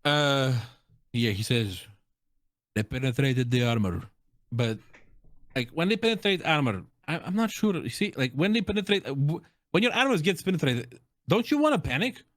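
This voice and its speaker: A man's voice: fairly high pitch, sing-song, normal speed, a bit bright, dry recording, studio quality. A man chatting in a casual, slangy, joking way as he reviews game voice lines. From Russia or Russian-speaking country. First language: Russian. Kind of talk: casual joking reaction chat.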